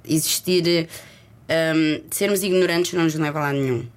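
A woman's voice: low-pitched, steady tone. Reflective woman with a Lisbon accent. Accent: Lisbon Portuguese. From Lisbon, Portugal. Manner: reflective, halting, mixes in English words. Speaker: interview guest, performer. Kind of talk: candid conversational interview.

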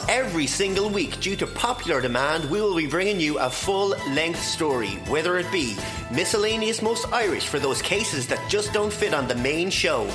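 A man delivers a promotional spot for his podcast in an upbeat, hyped salesman's patter. His pitch swings widely as he sells it. Clear music plays underneath him. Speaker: podcast producer reading an ad. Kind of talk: upbeat ad read.

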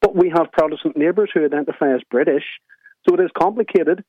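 A man from Northern Ireland with a Northern Irish accent, calling in to a radio show and chatting in a relaxed way. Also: a little high, fast, no room sound. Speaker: radio caller. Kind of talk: casual phone-in chat. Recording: tinny phone line.

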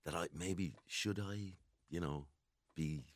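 A man with an extremely low, deep voice talks softly and haltingly in a dry studio. His manner is hesitant and self-deprecating, with a relaxed interview tone. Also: no room sound. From Ireland. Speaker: actor, interview guest. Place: radio studio. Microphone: studio mic.

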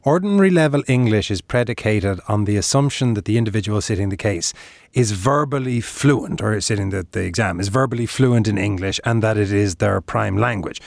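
A man's voice: lowish voice, a little fast, creaky, no room sound, studio quality. An Irish man presenting a radio interview, probing his guest and cutting in to challenge him. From Ireland.

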